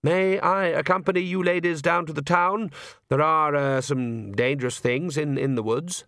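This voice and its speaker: A man's voice: on the high side, moderate pace, fairly bright tone, no room sound, clean studio sound, voice pushed up. A man voicing a nervous, awkward young priest who stammers and talks with hesitant politeness. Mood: stammering.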